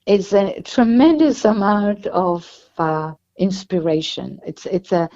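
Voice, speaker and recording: A woman's voice: medium pitch, animated, slow pace, warm tone, dull audio. A woman with an Israeli accent, an author guest talking at length in an interview. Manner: chatty, explains at length with restarts.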